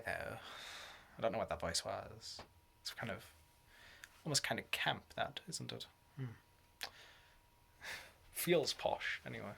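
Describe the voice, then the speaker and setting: A man's voice: medium pitch, creaky, breathy edge. A man vlogging alone, rambling and laughing as he slips in and out of put-on voices and accents. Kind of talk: rambling solo vlog.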